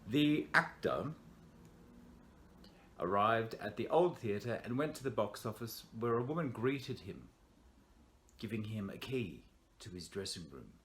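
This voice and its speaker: A man reading a scripted horror story in a theatrical, suspenseful way with dramatic pauses, as an actor would. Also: on the low side, expressive, fairly bright tone, slight background noise.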